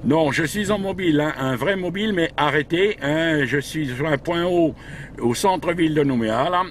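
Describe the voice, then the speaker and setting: A man's voice: a little high, moderate pace. A man working as a radio operator from a parked vehicle, talking in procedural radio chat with frequent tag words. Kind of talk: radio contact chat with spelled-out call signs. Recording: background noise in a vehicle.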